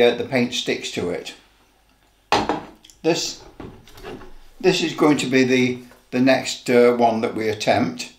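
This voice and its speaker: A man in his seventies giving an informal how-to demonstration in a halting, deliberate way, repeating phrases as he goes. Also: mid-range voice. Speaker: presenter of a hobby how-to video. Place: indoor office room. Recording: roomy echo, background noise and clicks.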